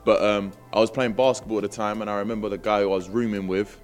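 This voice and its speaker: A man's voice: on the low side, steady tone, fast, soft background music. A man with a London accent telling stories casually as an interview guest, in slangy, laid-back phrasing. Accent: London English. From Britain. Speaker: interview guest, rapper and entrepreneur. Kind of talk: casual conversational storytelling.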